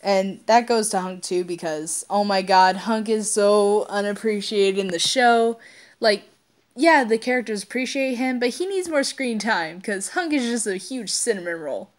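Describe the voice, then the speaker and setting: A woman's voice: medium pitch, moderate pace. A woman with an informal, unpolished delivery who stumbles now and then, reading stories aloud and chatting to her listeners as an amateur channel host. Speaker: amateur fan fiction reader and channel host.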